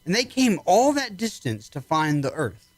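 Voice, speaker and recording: A man's voice: high voice, very animated, normal speed, no room sound. A man acting in an old-time radio drama as a probing, authoritative army psychiatrist with a clipped, commanding manner. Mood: probing, skeptical.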